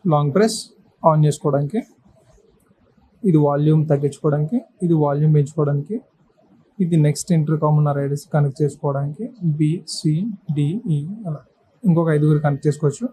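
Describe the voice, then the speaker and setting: A man with a slightly high, very mellow voice presents a hands-on tutorial. He explains one step at a time in short, practical phrases. There is faint noise behind him. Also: steady tone, fast, low-quality recording. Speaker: presenter of a how-to video.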